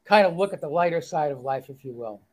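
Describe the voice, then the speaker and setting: A man's voice: on the high side, animated, fast. A man with a Boston accent, an author guest reading his own story aloud and chatting with good humor. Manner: good-humored, self-deprecating, with fillers. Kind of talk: reading aloud and casual interview chat.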